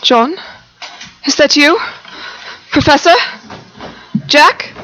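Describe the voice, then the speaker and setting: An actress playing a terrified but defiant young wife in a radio play, gasping and melodramatic. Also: female voice, high voice, very slow, a bit bright, heavily acted, low-quality recording. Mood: anxious. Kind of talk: stage radio play acting.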